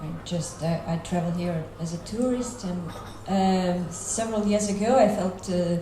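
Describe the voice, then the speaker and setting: A woman's voice: fairly low pitch, normal speed, mellow. A woman with a Russian accent introducing herself on a panel, hesitant with many fillers. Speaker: panelist journalist. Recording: echoey hall, audible noise.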